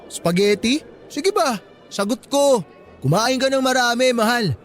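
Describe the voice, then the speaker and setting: A man's voice: very high-pitched, expressive, measured pace, faint music behind. An actor playing a devoted, affectionate man in a radio drama, with a sweet, teasing way of talking. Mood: happy, affectionate.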